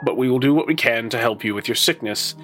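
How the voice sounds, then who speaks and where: A man's voice: mid-range voice, over music, natural voice. A man playing a solemn, regal elf king. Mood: solemn, gracious. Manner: formal, measured, ceremonious. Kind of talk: tabletop roleplay acting.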